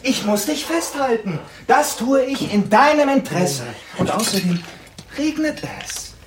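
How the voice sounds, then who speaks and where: A man's voice: very high voice, expressive, measured pace, background noise. An actor playing a controlling, insistent man in a radio drama, cajoling as he presses his point. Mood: coaxing, firm. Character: controlling, insistent adult, by turns coaxing and commanding.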